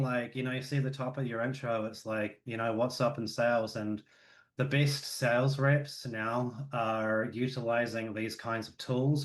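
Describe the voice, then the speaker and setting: A man from New Zealand with a New Zealand accent, chatting casually as a podcast guest, relaxed and full of fillers. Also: mid-range voice. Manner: relaxed, filler-heavy, with quick asides.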